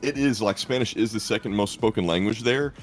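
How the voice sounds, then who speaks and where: A man with a lowish voice banters on a stream, telling stories about himself and poking fun at his own antics. He is an esports caster, and faint music plays under his talk. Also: fairly quick. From South Arkansas, USA. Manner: storytelling, self-mocking, joking.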